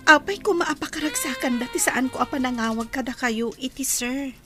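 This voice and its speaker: A woman's voice: sits high, normal speed, music in the background, close to an everyday voice. A woman playing a hesitant, demure young female employee in a Filipino radio drama. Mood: flustered, guarded.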